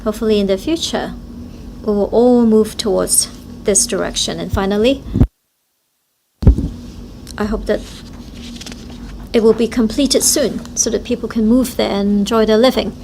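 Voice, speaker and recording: A woman interpreting speeches live at a forum, speaking in short, halting phrases. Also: medium pitch, creaky, dark tone, a little hiss or hum, low-quality recording.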